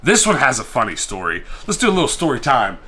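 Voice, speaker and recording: A man's voice: mid-range voice, wildly swooping pitch, fast talker. A man chatting casually in a vlog, showing off his collection and rambling with self-deprecating humor. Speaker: collector vlogger. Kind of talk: casual show-and-tell vlog.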